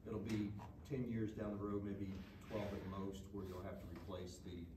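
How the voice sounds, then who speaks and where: A man explaining costs and upkeep at a school board meeting in a steady, explanatory way. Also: fairly low pitch, moderate pace. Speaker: consultant. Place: large meeting room. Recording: echoey room, far from the mic, background noise.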